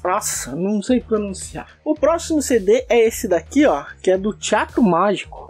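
A man chats casually and slangily to camera as a vlog host. His voice is mellow and a little husky, close on a studio mic in a shelf-lined room. Faint music plays in the background. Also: high-pitched. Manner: chatty and slangy, talking straight to camera. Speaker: host of a CD-collecting channel. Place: indoor room lined with shelves of discs. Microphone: large studio mic in front of the face.

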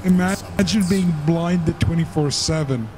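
A man's voice: fairly high pitch, unhurried. A man bantering and teasing friends in casual voice chat while gaming. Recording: game voice chat with game music behind.

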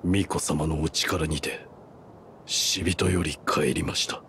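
An actor playing a stoic, loyal and grim shinobi in a video game, speaking in a terse, restrained way. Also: male voice, a bass voice, steady tone, takes it slowly, a bit bright, a little hiss or hum, full character voice. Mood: subdued. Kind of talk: understated video game acting. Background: music.

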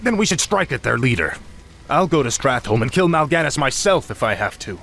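An actor playing a proud young warrior prince, defiant and imperious, in a video game cutscene. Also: male voice, mid-range voice, very animated, fairly quick, gravelly, fairly bright tone, slight background noise.

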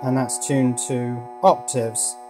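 A man's voice: mid-range voice, steady tone, takes it slowly, over music. A man giving a casual hands-on electronics demonstration, thinking aloud a little hesitantly as he goes. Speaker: electronics hobbyist presenter. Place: indoors at a workbench.

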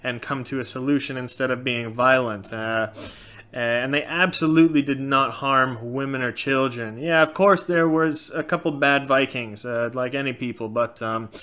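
A man in his thirties with a light Norwegian accent answers viewer questions in a casual, outspoken way. His voice is bright and crisp. He sits close to a webcam in a small indoor room. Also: medium pitch, moderate pace. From Norway. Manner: frank, animated, gestures a lot. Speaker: channel host. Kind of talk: casual Q&A chat.

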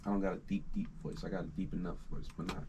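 A man's voice: sits low, level tone, very fast, vocal fry, noisy background. A young man, a recording artist, chatting casually in a small home studio, talking through his nose and rambling with slangy restarts. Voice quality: nasal.

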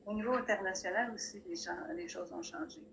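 A woman's voice: medium pitch, fairly quick, creaky, fairly bright tone, slight background noise, low-quality recording. An older woman from Montreal, sharing wry personal anecdotes as a guest speaker on a video call from a library room. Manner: plainspoken storyteller, wry asides. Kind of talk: personal anecdotes at a community talk. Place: library room with bookshelves, indoors. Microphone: video call, laptop camera.

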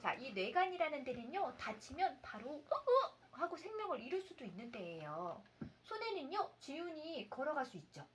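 A woman in her thirties, a mother reading a children's story aloud and asking her child questions in an expressive, sing-song, explanatory way, with faint room noise behind her. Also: high voice, moderate pace.

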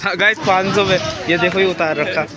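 A man's voice: sits high, fairly even intonation, a little fast, a crowd in the background. A young man outdoors at a work site, joking and bantering casually toward a phone camera. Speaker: friend of the vlogger.

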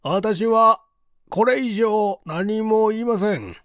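A woman voicing a composed, courteous male detective chief in an audiobook, explaining in a deliberate, emphatic and formal way. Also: medium pitch, dry recording, clean studio sound, pitched above the performer's own voice, lightly acted. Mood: calm, firm. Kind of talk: storybook dialogue in audiobook reading.

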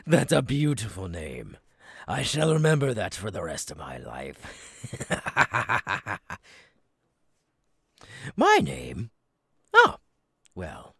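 Creepy gleeful monster clown for asmr roleplay, raspy cackly, drags words out super slow, pitch all over the place. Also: male voice, no room sound, clean studio sound, heavily acted. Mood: eerie welcoming, then playful menace. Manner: theatrical, lurching between whispers and loud bursts. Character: creepy gleeful male monster circus clown, menacing, childlike.

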